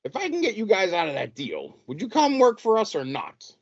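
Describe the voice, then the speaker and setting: A man's voice: sits high, wildly swooping pitch, brisk, dull audio, full character voice. A man acting in a comedic audio play as a wheedling, easily exasperated city wrestling promoter who stammers. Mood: persuasive.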